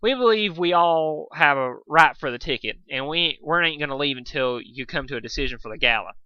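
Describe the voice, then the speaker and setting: A man's voice: on the high side, animated, a little fast, pushed and bright, clean tone, dry recording, dull audio. A man voicing a stubborn female country pony in a storybook reading, folksy and insistent.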